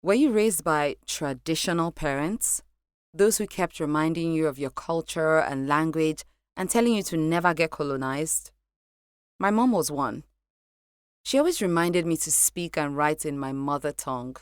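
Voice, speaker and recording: A young woman with a Nigerian accent narrating a scripted personal story in a lively, animated way. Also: sits low, moderate pace, clear, resonant tone, dry recording, studio quality. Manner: lively, animated delivery with light laughs. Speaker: podcast narrator.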